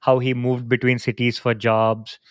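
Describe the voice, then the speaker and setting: A man's voice: medium pitch, flat delivery, normal speed, smooth, no echo, clean studio sound. Pria santai bercerita, rekaman kering. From India. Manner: reflective, storytelling. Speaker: podcast co-host. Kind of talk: casual conversation.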